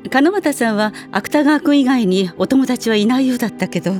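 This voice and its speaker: A woman playing a gentle but guarded schoolteacher who recalls former pupils in an audio drama. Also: on the high side, expressive, brisk, soft background music, natural voice. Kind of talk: Japanese audio drama acting.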